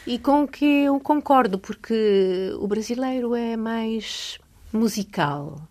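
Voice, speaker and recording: A woman's voice: a little high, expressive, slow, darkish tone. A middle-aged woman from Poland, a native Polish speaker with a European Portuguese accent, talking thoughtfully as a radio interview guest with hesitant restarts.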